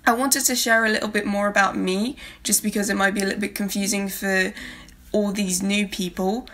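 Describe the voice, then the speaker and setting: A woman's voice: mid-range voice, level tone, brisk, fairly bright tone. A young woman from South America, a native Spanish speaker with a light Spanish accent, rambling casually in a friendly voice-over about her art channel. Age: young adult. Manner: rambling, friendly, many fillers. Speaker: art YouTuber. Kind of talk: casual voice-over while drawing. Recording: faint backing guitar music.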